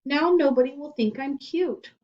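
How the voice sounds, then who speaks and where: A woman plays a little schoolgirl from a storybook, pitched up and insistent. She is insecure and plaintive, yet can turn boldly defiant. The recording is slightly dull and lo-fi. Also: normal speed, warm tone, far from the mic, close to an everyday voice. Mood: plaintive, pleading.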